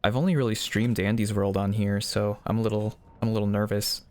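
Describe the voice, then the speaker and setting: A man's voice: on the low side, little pitch movement, brisk, darkish tone. A man live streaming in a casual, conversational way, reading viewers' messages aloud and replying to them. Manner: reads chat messages aloud and replies.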